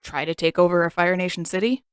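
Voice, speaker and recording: A woman's voice: fairly low pitch, fairly even intonation, quick pace, clear, resonant tone, no room sound, clean studio sound, lightly acted. A woman voicing a sarcastic, bossy teenage boy in a read-aloud fan story, blunt and teasing.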